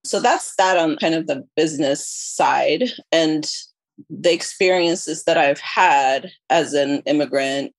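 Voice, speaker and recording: A woman's voice: low voice, slow pace, dry recording. A woman from Ethiopia who moved to the US as a child, answering podcast interview questions in a reflective, explanatory, conversational way. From born in Ethiopia, moved to the US at about eleven. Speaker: podcast guest, consulting firm chief executive.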